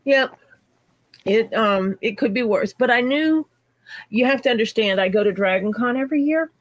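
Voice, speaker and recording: A woman's voice: on the high side, expressive, moderate pace, no room sound. A woman with a scratchy voice from illness, hosting a casual livestream chat and greeting viewers by name as she talks. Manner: chatty, greets viewers by name mid-story. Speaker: livestream host.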